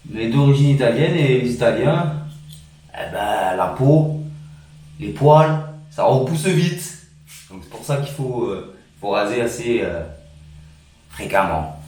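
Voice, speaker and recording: A man's voice: medium pitch, expressive, slow pace, pauses often, darkish tone. A man from France chatting informally and earnestly as a vlogger, recorded in a reverberant room away from the mic. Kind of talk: casual vlog chat. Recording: very echoey room, distant mic, faint noise.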